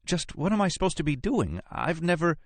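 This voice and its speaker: A man's voice: fairly high pitch, very animated, brisk, dry recording, pitched above the performer's own voice. A man voicing a panicky, unsure woman scientist in an audiobook. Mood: panicked. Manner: anxious, protesting.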